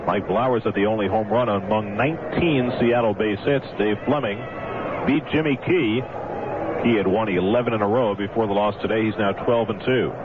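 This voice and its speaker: A man's voice: on the low side, moderate pace. An American man giving baseball commentary and league news as a broadcast co-announcer. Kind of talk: sports commentary and score reporting. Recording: tinny, noisy, band-limited old broadcast.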